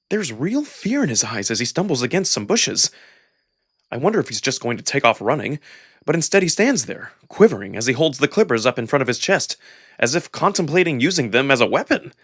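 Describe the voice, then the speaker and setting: A man giving an expressive, dramatic first-person narration of a visual novel. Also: mid-range voice, slightly rough, no room sound, clean studio sound. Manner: expressive, acted-out delivery. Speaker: narrator and voice performer.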